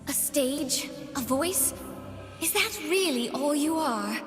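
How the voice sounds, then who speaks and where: An actress voicing a cheerful, curious young doll-like seamstress heroine for a video game, with prim, wondering, theatrical sweetness. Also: female voice, high voice, animated, slow pace, soft background music. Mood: challenging. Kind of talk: video game character voice lines. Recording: game audio with long hall reverb.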